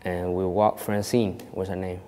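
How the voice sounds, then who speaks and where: Young man with a mellow low voice. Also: little pitch movement, normal speed, in a large hall. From born in Kenya of a Somali family. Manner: rambling, many restarts and "you know". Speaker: actor interviewed. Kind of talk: casual storytelling interview.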